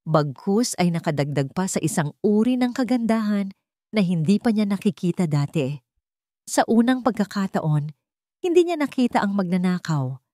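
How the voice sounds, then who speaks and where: A woman narrating a romance story in a dramatic, expressive reading style. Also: medium pitch, normal speed, dry recording, clean studio sound.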